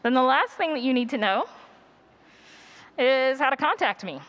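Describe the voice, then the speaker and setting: A woman's voice: high voice, animated, moderate pace, fairly bright tone, dull audio. A woman teaching a workshop in a conversational, encouraging way and taking questions from people in a conference room. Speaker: workshop presenter. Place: conference session room with an audience.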